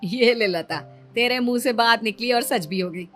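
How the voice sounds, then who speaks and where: A woman's voice: a little high, normal speed, fairly bright tone, soft background music, close to an everyday voice. A woman voicing a chatty, persuasive neighbour in a story, coaxing and gossipy. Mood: eager, coaxing.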